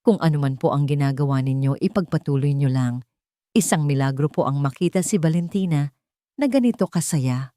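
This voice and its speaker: A woman narrator plays an upbeat, polite kindergarten director in an audio drama. The delivery is enthusiastic, the sound mellow and dry. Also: sits low, brisk, no echo, lightly acted. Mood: encouraging. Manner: lively, enthusiastic. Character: cheerful, polite female kindergarten director on the phone.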